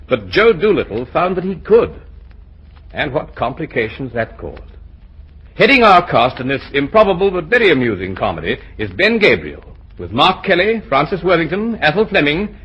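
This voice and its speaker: Old-time radio announcer, male, husky, formal and measured, with animated pitch. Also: rough recording.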